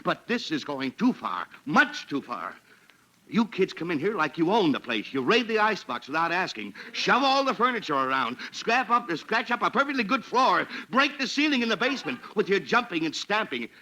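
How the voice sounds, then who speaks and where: High-strung, high-voiced blustering dad. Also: male voice, very animated, moderate pace, bright, forceful tone, exaggerated voice. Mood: scolding. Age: middle-aged. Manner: sputtering, flustered, repeats himself. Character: exasperated, blustering middle-aged suburban father, soft-hearted underneath. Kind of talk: 1940s radio sitcom acting. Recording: noisy old-time radio recording.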